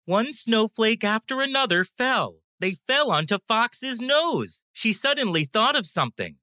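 A woman narrating a children's bedtime story in an expressive storytelling manner. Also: a little high, a little slow, dry recording, studio quality.

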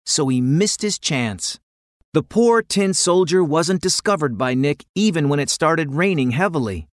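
Expressive woman storyteller, animated pitch. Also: a little slow, no room sound, clean studio sound. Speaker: storyteller, podcast narrator. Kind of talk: scripted children's story narration.

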